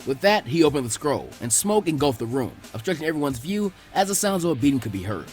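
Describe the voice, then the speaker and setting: A man narrating fan fiction as an animated scripted story reading. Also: on the high side, quick pace, soft background music.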